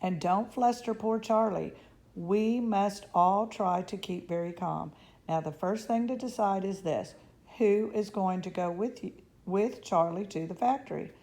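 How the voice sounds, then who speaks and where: A woman reading aloud as a calm, caring mother who speaks in a gentle, reasoning tone. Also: mid-range voice, measured pace, lightly acted. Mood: soothing.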